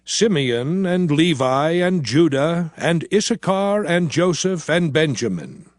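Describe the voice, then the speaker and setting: Casting: male actor for commanding Bible-drama lawgiver, fairly high voice, slow, deliberate proclamation. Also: expressive, no room sound, studio quality. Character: Moses, commanding leader proclaiming law to Israel. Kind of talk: dramatized King James Bible reading.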